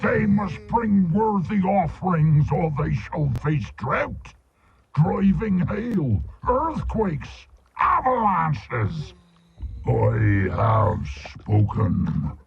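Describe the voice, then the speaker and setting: A man voicing a fat, greedy, pompous creature who poses as a god in a video game, with gravelly theatrical speech. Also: fairly high pitch, very animated, extremely slow, in a large hall, lo-fi sound, a crowd in the background, heavily acted. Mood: imperious. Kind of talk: video game character acting.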